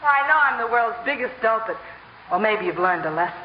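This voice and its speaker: Female actor as a long-suffering, wry girlfriend for 1950s radio comedy. Teasing, animated delivery; muffled broadcast sound. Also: fairly high pitch, fairly quick, natural voice. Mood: sardonic. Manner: dry, teasing. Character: sardonic, fond, long-suffering girlfriend of a hustler. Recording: muffled, echoey old radio broadcast, faint noise.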